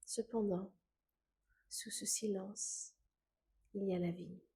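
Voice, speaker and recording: A woman guiding a scripted meditation in a calm, gentle, unhurried way, with soft phrase endings that fade into breath. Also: medium pitch, slight background noise. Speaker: meditation guide.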